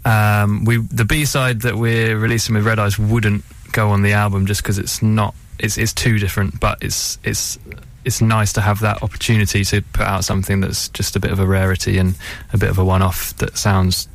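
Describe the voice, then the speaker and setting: Male band member, lowish gravelly voice, hesitant conversational interview guest. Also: little pitch movement, moderate pace, few pauses. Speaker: band musician, radio guest. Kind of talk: casual interview chat. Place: radio studio.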